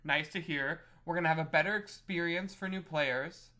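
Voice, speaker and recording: Higher-voiced man discussing gaming news. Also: moderate pace, sharp tone. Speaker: podcast co-host.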